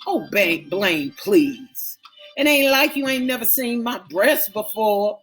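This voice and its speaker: A woman's voice: a little high, expressive, takes it slowly, a bit bright, soft background music. Sassy young mother, as a narrator puts her on. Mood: teasing. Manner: sassy, teasing.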